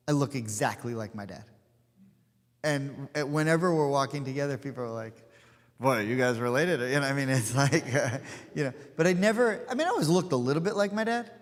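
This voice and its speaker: A man in his forties leading an informal study class, chatty and digressive, with plenty of asides and fillers. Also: mid-range voice. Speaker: rabbi teaching a class. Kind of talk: informal study-session teaching. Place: synagogue hall. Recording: hall with long reverb.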